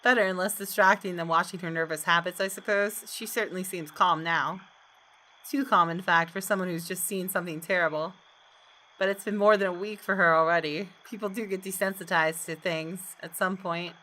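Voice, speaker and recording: A woman's voice: on the low side, steady tone, a little fast, pushed and bright, rough recording. A woman hosting a gaming video, reading visual novel narration aloud with dramatic expression.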